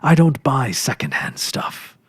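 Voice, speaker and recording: A man voicing a brisk, businesslike male shopkeeper in a storybook reading. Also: mid-range voice, big pitch swings, breathy edge, no room sound, whispered, voice pushed up, heavily acted.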